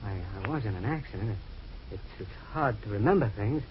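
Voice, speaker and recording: An actor playing a haunted, confused man with lost memory, anguished and halting in old-time radio melodrama. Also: lowish voice, expressive, lightly acted. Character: haunted, confused amnesiac husband, desperate man. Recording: dull, noisy old radio recording.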